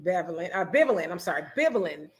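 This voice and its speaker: A middle-aged American woman hosting a casual chat, reading viewer comments aloud in an animated way. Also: lowish voice, fast talker. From United States. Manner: animated, laughs often, reads comments aloud. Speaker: host.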